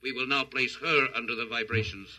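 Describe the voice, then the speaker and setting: A man's voice: medium pitch, fairly even intonation, brisk, bright, forceful tone. A man playing a cold, logical alien captor in a 1950s radio drama, speaking in a stilted, formal, emotionless way. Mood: cold, menacing. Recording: dull vintage 1950s radio recording.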